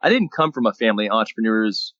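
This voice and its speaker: Bright-voiced man in his thirties from Texas. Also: fairly low pitch, fast talker, no echo. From San Antonio, Texas, USA. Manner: rambling storytelling, relaxed and friendly. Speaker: guest, daily vlogger and entrepreneur. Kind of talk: casual podcast interview. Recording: dull lo-fi dry sound.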